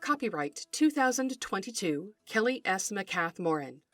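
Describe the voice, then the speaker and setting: A woman's voice: mid-range voice, big pitch swings, unhurried, a bit bright, soft background music. A woman hosting a podcast, reading scripted educational narration in a precise, articulate, lecturing manner. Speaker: podcast host.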